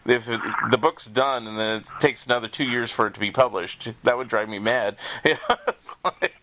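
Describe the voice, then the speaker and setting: A man's voice: mid-range voice, moderate pace, pushed and bright, rough recording. A man hosting a podcast in a casual, chatty and rambling way, often chuckling as he goes. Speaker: podcast host and author.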